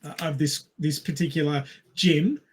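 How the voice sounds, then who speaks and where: A man's voice: fairly high pitch, fairly even intonation, normal speed, breathy edge. A man chatting casually about film as a podcast panelist, with a wry, jokey way of talking.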